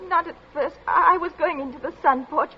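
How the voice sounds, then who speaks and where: A woman playing a frightened, distraught young daughter in a radio drama, halting, stammering and tearful as she answers her stern father. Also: high voice, natural voice. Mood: hesitant, fearful. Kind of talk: 1940s radio drama acting. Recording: muffled, noisy old radio recording.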